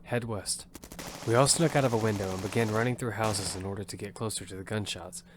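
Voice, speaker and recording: A man's voice: fairly low pitch, steady tone, fairly quick, noisy background, lightly acted. A man voicing Damian, a young battle survivor, narrating the story in first person in an earnest, matter-of-fact way. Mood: steady. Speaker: narrator and lead character. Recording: loud music score behind.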